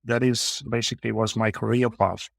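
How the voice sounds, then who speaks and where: Man, 40s, founder, halting, frequent fillers, dry sound, mid pitch. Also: level tone, vocal fry, no room sound. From Ukraine. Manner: halting, many uh fillers, non-native phrasing. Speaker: podcast guest, founder. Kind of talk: interview answers.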